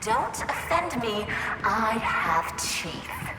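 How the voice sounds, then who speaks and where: A clingy, seductive robot from a video game, high and swooping in pitch. She coos coquettishly with an edge of menace. The voice rings in an echoey hall with music behind it. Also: sing-song, extremely slow, heavily acted. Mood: teasing, possessive. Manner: sultry, coquettish, cooing with pet names. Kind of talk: video game character acting.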